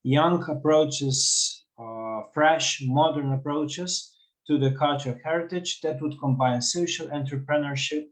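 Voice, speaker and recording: A man's voice: fairly high pitch, rough recording. A man in his thirties with a Slovenian accent, explaining his organization's work earnestly on a video call. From western Slovenia. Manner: earnest, explanatory, some halting. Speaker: panelist, cultural heritage organization representative. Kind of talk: panel discussion answer.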